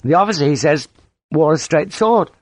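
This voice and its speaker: A man's voice: fairly high pitch, animated, normal speed, no echo, pitched above the performer's own voice. A man voicing a timid young army lieutenant, hesitant and deferential, with a nervous stammer, in an audiobook story. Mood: anxious, hesitant.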